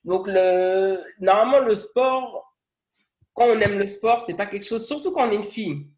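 A man's voice: sits high, normal speed. A man in his thirties hosting a podcast interview over a video call. He chats casually, with many hedges and fillers. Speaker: podcast host.

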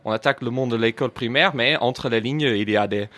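A young man with a Dutch accent talks casually as a guest, stopping often to search for words. His voice is bright and forward. The sound is dry and close, with no room around it. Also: mid-range voice, fast talker, no room sound. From Netherlands. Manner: hesitant, frequent euh and restarts. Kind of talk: casual chat.

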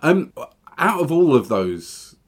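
A man's voice: fairly high pitch, very animated, slow pace, slightly rough. A man hosting a casual podcast chat, asking questions with dry, wry humor.

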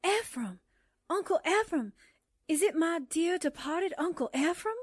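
A woman's voice: very high-pitched, expressive, unhurried, dry recording, clean studio sound. An actress playing a brash, cheeky, scatterbrained newspaperwoman with perky delivery in a 1940s-style radio drama. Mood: eager, feigning. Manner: perky, wisecracking.

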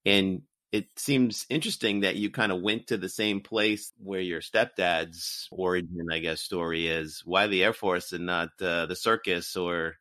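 A man hosting an interview who asks measured, attentive questions. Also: low voice, fairly even intonation, pushed and bright, no echo, clean studio sound. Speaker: podcast host.

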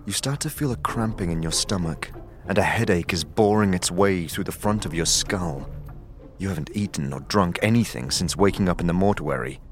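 A man with a very deep voice reads scripted narration in a steady, descriptive way. Faint music plays quietly beneath him. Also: normal speed. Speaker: narrator and producer.

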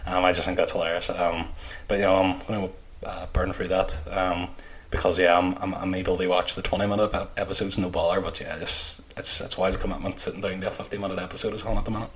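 A man's voice: sits low, little pitch movement, fast talker, hardly any pauses, fairly bright tone, rough recording. A man co-hosting a podcast in casual conversation. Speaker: podcast co-host. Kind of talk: casual chat.

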